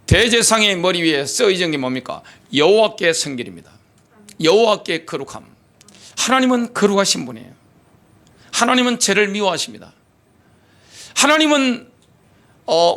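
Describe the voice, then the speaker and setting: A man delivers a sermon from the pulpit, his pitch sitting high and swinging widely from line to line. He reads scripture in slow, weighty phrases, then turns to the congregation with rhetorical questions. His tone is bright and forceful. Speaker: pastor.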